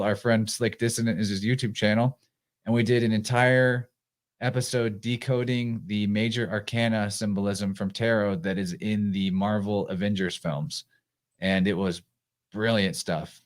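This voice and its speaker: Low-ish guy hosting a live podcast, laid back, reading chat comments out. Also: measured pace. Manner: relaxed, reads out listener comments. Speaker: podcast host.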